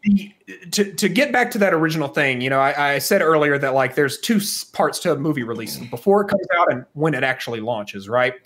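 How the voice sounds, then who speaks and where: A man's voice: fairly high pitch, expressive, fairly quick, hardly any pauses, a bit bright. A man co-hosting a podcast, talking casually and giving opinions with emphatic stress on key words. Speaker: podcast co-host.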